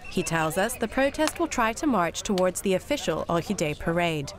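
A woman reading scripted news narration in a measured way. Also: on the low side, sing-song. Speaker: news reporter. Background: music.

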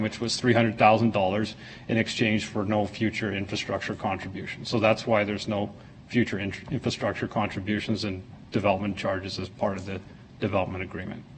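Low-voiced man presenting. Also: a little hiss or hum. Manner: plain-spoken, explanatory. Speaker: city planning staff presenter. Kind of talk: informal staff presentation to a council. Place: council meeting room.

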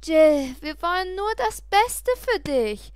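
A woman's voice: very high-pitched, slow pace, no room sound, pitched above the performer's own voice, full character voice. A woman voicing an eager, fretful mother of a teenage girl in an audiobook. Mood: placating. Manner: excited, placating, then reproachful.

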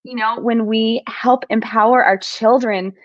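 A woman's voice: a little high, steady tone, a little slow, dry recording. A woman in her thirties hosting a casual chat in an upbeat, smiling way. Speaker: host. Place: indoor room at home. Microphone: video call microphone. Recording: slightly dull video call audio.

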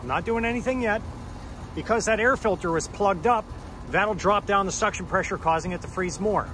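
A man in his thirties with a fairly high voice talks an audience through a repair on an outdoor unit, one step at a time. He keeps checking in with a quick "okay?". The camera mic picks him up outdoors, with faint traffic and street sound behind him. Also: fairly bright tone. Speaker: HVAC technician. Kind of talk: hands-on how-to explanation. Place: outdoors by an air conditioning unit in a yard. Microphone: camera mic, handheld or on a tripod.

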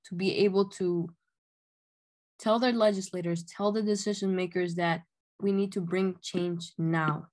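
A young woman from Honduras, earnestly telling her story as an activist over a video call from her bedroom, with many "um"s and "like"s. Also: medium pitch. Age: young adult. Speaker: youth climate activist. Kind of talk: casual spoken account. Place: bedroom at home, indoors. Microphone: laptop webcam, video call.

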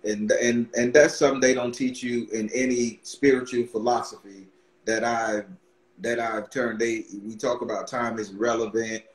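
A man's voice: medium pitch, moderate pace, roomy sound, poor audio. A man in his thirties hosting a casual video-call interview, talking in a rambling way with many restarts. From Barbados. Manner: rambling, repeats words, excited restarts. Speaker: podcast host, tarot reader. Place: room with brick wall backdrop. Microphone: webcam on video call.

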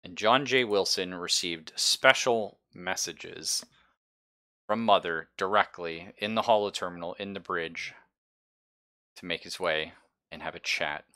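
Male game master, low-pitched with a bright, forceful edge, informal and sweary storytelling. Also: measured pace. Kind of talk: casual narration of a tabletop roleplaying game. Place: online game session, streamed.

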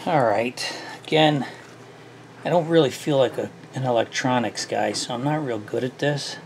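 A man's voice: mid-range voice, normal speed, a little hiss or hum. A middle-aged man casually talking through a repair at his workshop bench, thinking aloud with a self-deprecating, easygoing manner. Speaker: small engine repair host. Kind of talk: casual hands-on repair tutorial.

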